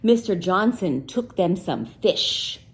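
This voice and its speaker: A woman teacher reading a picture book aloud to young children, pausing to ask them questions in a playful, sing-song way. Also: fairly low pitch, expressive. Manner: slow and deliberate, pausing for answers.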